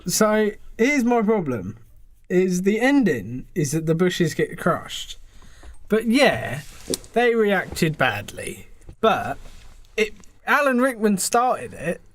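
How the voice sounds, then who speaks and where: A man's voice: high voice, very animated, takes it slowly, dry recording. A young man co-hosting a podcast, chipping in with teasing, casual comic banter. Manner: interjecting, teasing. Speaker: podcast co-host.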